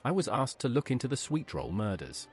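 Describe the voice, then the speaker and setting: A man's voice: medium pitch, brisk, close to an everyday voice. An AI-voiced man playing a blunt, terse investigator in game dialogue, with faint music behind. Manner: terse, flat-worded, brief.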